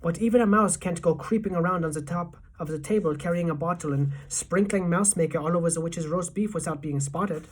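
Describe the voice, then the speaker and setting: A woman voicing a wily, determined old grandmother who speaks in a measured, deliberate way in a storybook. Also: fairly low pitch, husky. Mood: scheming, fond.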